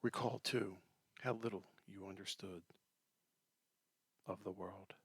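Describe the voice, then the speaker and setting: Male meditation guide: deep, husky and soft, gentle delivery with long pauses, breathy phrasing. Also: steady tone, no room sound. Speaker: meditation teacher.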